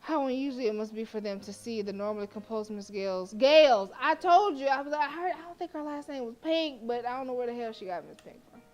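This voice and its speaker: A woman's voice: a little high, lively intonation, fast, few pauses, darkish tone, faint music behind. A woman streamer who reads a horror visual novel aloud in a dramatic way and breaks off for profane, joking asides.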